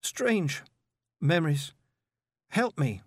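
Troubled, sharp-tempered witch child for an audiobook, acted by a man, higher register, animated. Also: slow pace, husky, no echo, studio quality, exaggerated voice. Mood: dazed, strange. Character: troubled young witch girl, sharp and resentful.

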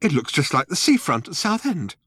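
A man voicing a bewildered, anxious man who speaks in halting exclamations, in an audiobook story. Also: fairly high pitch, very animated, a bit bright, breathy edge, dry recording, studio quality, pitched above the performer's own voice, full character voice. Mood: uneasy, puzzled. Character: bewildered, anxious man, mildly fretful and stammering.

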